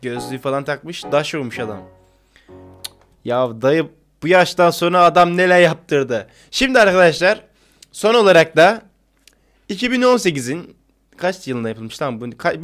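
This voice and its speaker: A man's voice: fairly high pitch, expressive, fairly quick, fairly bright tone, faint music behind. A man in his twenties giving casual, slangy, jokey reaction commentary as a video host. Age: 20s. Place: small indoor room with brick-patterned wall.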